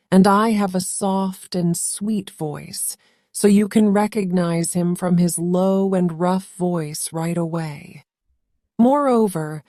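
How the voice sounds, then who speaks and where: A woman voicing a loving mother goat who gently warns her children. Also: fairly low pitch, no room sound, clean studio sound.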